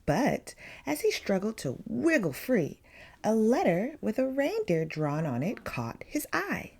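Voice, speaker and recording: A woman's voice: fairly high pitch, huge pitch swings, a little slow, creaky, darkish tone, husky. A woman reading a children's picture book aloud expressively, with dramatic emphasis on key words. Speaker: story time reader.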